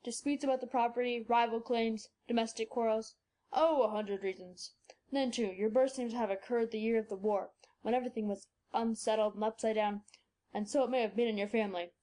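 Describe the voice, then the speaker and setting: A woman voicing an excitable, zealous old male doctor who talks in eager, emphatic bursts. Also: a little high, fairly quick, voice pushed down, natural voice. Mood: excited. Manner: eager, emphatic, scornful outbursts.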